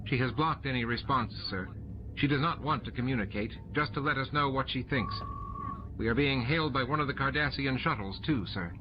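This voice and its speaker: A man's voice: mid-range voice, brisk, noisy background, pitched above the performer's own voice, close to an everyday voice. A man voicing an urgent female space station officer, giving clipped reports in an audiobook. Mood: tense reporting. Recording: muffled audio under loud music.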